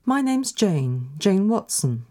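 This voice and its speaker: A woman narrating a scripted language course recording, deliberate and carefully enunciated. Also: mid-range voice, very animated, warm tone. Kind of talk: scripted language-lesson reading.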